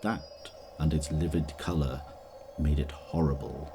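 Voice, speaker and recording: A man with a deep, low voice narrates a dramatic story. His delivery is theatrical and measured, with noticeable ambient noise behind him. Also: flat delivery.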